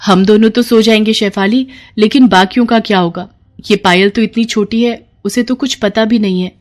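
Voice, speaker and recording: A woman voicing a gentle, worried young eldest sister in a storybook tale, earnest and plaintive. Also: mid-range voice, steady tone, moderate pace, lightly acted.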